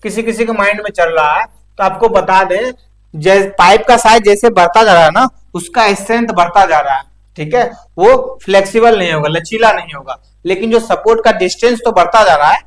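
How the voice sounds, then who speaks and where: A man's voice: high voice, a little fast. A man giving an informal practical technical lecture, explaining step by step with frequent check-in tags. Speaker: instructor.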